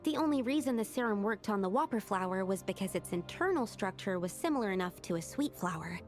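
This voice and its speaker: A woman's voice: medium pitch, expressive, fast talker, close to an everyday voice. A woman playing an earnest, studious young female alchemist in a video game, explaining things carefully and analytically. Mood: thoughtful, careful. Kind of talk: video game character dialogue. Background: game music.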